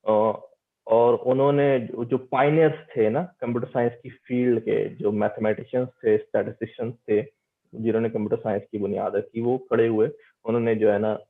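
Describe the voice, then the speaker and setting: A man's voice: medium pitch, phone-quality sound. Man lecturing informally, repeating for emphasis. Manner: explanatory, repeats phrases for emphasis. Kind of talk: informal interactive online lecture.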